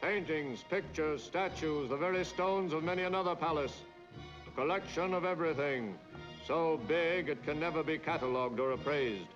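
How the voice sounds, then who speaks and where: A man's voice: fairly high pitch, moderate pace, lightly acted. An American man playing a pompous, portentous newsreel announcer, declaiming in grand 1940s style over music. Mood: grand, solemn. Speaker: newsreel narrator. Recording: dull 1940s film soundtrack, loud music. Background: loud orchestral music.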